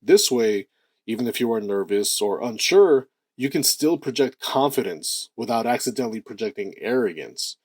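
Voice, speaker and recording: A man with a deep voice giving a lively motivational talk, stressing key words and slowing down to draw out phrases for effect. The recording is dry and close, with no room sound around him. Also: no echo. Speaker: self-improvement channel host.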